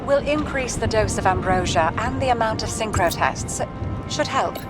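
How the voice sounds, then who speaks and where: A measured, clinical woman doctor, played by an actress in a noir video game. Her voice is on the higher side and she talks like a scientist with a patient. Loud music runs beneath it. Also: expressive, natural voice. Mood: clinical, reassuring. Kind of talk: cinematic video game dialogue.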